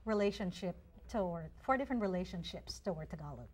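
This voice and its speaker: A woman's voice: fairly low pitch, lively intonation, fast talker, background noise. A woman from the Philippines hosting a studio panel talk show, friendly and teasing as she leads the talk.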